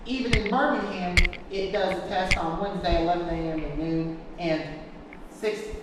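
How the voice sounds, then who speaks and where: A woman giving an informal class talk, partly reading from notes, speaking haltingly and repeating her phrases. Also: fairly low pitch. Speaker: presenter teaching a class. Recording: echoey hall, distant mic, noisy background.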